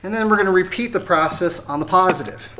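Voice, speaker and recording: An American how-to host with a mid-pitched voice, explaining a hands-on job step by step in a casual, conversational way. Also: fast, background noise. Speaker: host of an automotive how-to channel. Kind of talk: casual how-to tutorial narration.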